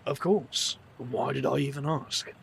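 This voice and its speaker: An actor playing a weary, sardonic adventurer with a dry manner in an audio drama. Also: male voice, medium pitch, a little slow, creaky, a little hiss or hum. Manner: dry, world-weary, sarcastic. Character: weary, sardonic supernatural adventurer with family baggage.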